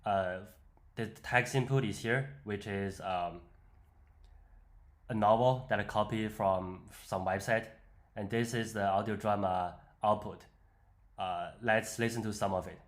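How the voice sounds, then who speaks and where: A man presenting an unscripted software walkthrough, explaining step by step in a hesitant way. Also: on the low side, fairly even intonation, unhurried. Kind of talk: unscripted screen-demo walkthrough.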